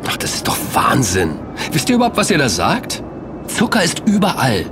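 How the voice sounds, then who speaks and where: An actor playing a sceptical, excitable man who protests in colloquial, incredulous dialogue in an audio drama. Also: medium pitch, moderate pace, noisy background. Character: sceptical, excitable male sugar lover named Kim.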